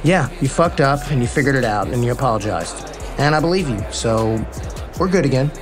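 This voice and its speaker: Man playing laid-back baseball player, few words, forgiving, mid-to-low male voice, romantic comedy audio drama with music. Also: lively intonation, a little fast, lightly acted. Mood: calm, forgiving. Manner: laconic, plain-spoken. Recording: music behind the voice.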